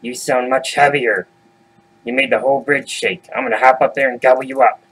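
A man's voice: mid-range voice, expressive, a little fast, gravelly, a bit bright, slightly rough, exaggerated voice, rough recording. A man voicing a greedy, grumpy troll in a storybook tale, blunt and demanding. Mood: menacing. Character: greedy, grumpy bridge troll, menacing and gullible.

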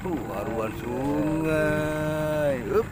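A man's voice: on the high side, drawn-out words, background noise. A middle-aged man with a Malaysian Malay accent giving casual, laughing commentary while fishing from a small boat on a river. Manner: chatty, laughing often, teasing his companion. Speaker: fishing vlogger.

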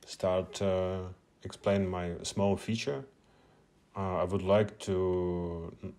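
A man's voice: low voice, darkish tone. A man, a non-native English speaker whose first language is Russian, giving a hesitant screen-share tutorial at a meetup with many filler sounds. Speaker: media artist presenting at a meetup.

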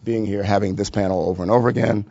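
Very deep-voiced man on a panel. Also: fairly even intonation, creaky, dark tone, husky. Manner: measured, policy-focused, many "uh" fillers. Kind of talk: panel presentation. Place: conference room at a workshop. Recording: dull lo-fi conference audio.

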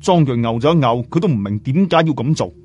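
A man's voice: medium pitch, animated, fast, a little mellow, slightly rough, dull audio. A man narrating a serial novel in a colloquial, chatty way, often putting questions to listeners. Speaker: audiobook narrator. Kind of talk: animated oral storytelling of a serial novel. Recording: music behind the voice.

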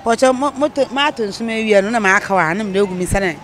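A woman's voice: mid-range voice, quick pace, a bit bright, slight background noise. A middle-aged woman answering at her market stall, matter-of-fact. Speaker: market trader. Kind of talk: street interview answers. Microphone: handheld mic held by interviewer.

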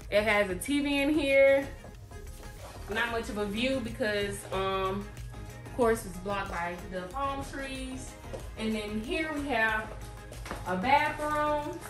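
A woman in her twenties casually narrating her vlog as she goes along. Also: a little high. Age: 20s. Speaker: vlogger. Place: indoors in condo rooms and inside a car. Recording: echoey rooms and car, music behind.

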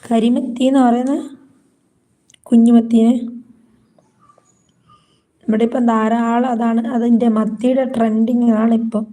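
Mellow woman, high even voice, live chatting. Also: measured pace, long pauses. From Kerala, India. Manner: reads out comments, asks viewers questions. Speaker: livestream host. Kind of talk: casual live chat with viewers.

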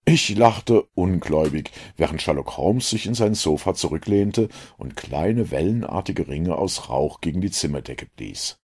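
A man's voice: sits low, lively intonation, unhurried, hardly any pauses, a little mellow, breathy edge. A man narrating a story as a scripted audiobook reading.

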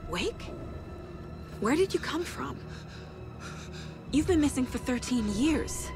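A calm, firm FBI agent. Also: female voice, sits high, takes it slowly, over music. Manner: calm, firm, matter-of-fact. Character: calm, focused female FBI investigator. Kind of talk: video game voice acting.

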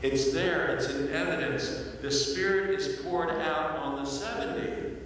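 An older man preaching a sermon in a large church sanctuary, telling the story with playful asides and lively voiced quotes. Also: on the high side, measured pace, a little hiss or hum. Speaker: pastor. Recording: echoey hall from far off.

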